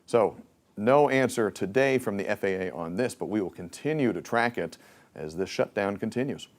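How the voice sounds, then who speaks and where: A man's voice: on the low side, animated, normal speed. A man reporting live for television news from a newsroom in a broadcast reporting style.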